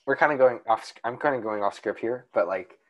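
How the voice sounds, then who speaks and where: Calm-voiced young man chatting. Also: medium pitch, brisk. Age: young adult. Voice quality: calm, soothing voice. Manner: rambling, with many restarts and fillers. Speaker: podcast co-host. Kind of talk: casual chat.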